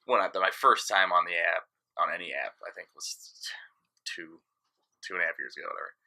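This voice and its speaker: A man's voice: low-pitched, fairly quick, sharp tone. A man in his late thirties, a DJ guest in casual podcast chat, talking frankly and rambling, often trailing off and restarting. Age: 30s.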